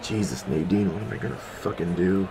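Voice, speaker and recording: Man playing a deep-voiced weary officer father. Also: animated, brisk, crowd noise. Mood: angry, despairing. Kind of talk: science fiction audio drama acting.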